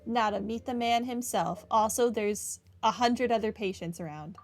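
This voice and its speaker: A woman gives casual video game commentary in a fairly high voice. Her delivery is wry and sarcastic, with game music faintly behind her. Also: normal speed. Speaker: video game playthrough host. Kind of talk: casual game commentary, reading dialogue aloud.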